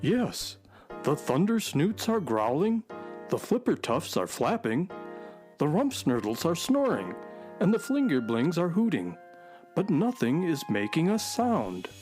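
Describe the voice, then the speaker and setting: A woman's voice: deep voice, sing-song, unhurried, a little mellow, faint music behind. A woman playing a loyal, observant talking space horse in a children's radio drama, earnest and dramatic. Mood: earnest concern.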